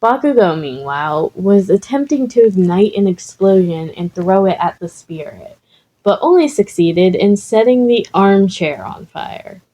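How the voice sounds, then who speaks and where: A woman's voice: mid-range voice, animated, measured pace, dark tone, low-quality recording. A woman reading fan fiction aloud as scripted story narration. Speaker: podfic narrator.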